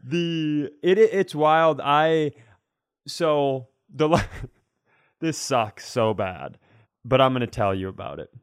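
A man's voice: on the high side, takes it slowly, clear, resonant tone, no echo, clean studio sound. A man in casual podcast chat, telling personal stories in a frank, conversational, comic way. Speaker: podcast co-host and comedian.

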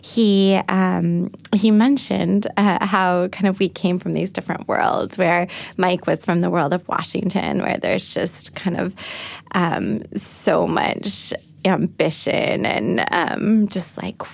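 A woman in her thirties, a journalist guest talking quickly in an interview, with a higher pitched voice, many fillers and repeated words. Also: creaky, no room sound. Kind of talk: interview conversation.

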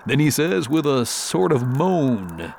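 A man's voice: mid-range voice, very animated, slow, creaky, a little mellow, slight background noise. A man narrating rhyming horror verse in a theatrical storytelling cadence. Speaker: poem narrator.

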